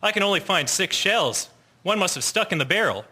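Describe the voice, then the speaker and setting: A man's voice: sits high, animated, brisk, pushed and bright, full character voice. A young man playing an eager airman in a historical audio drama. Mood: alarmed. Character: eager young airman, proud gun owner, later alarmed.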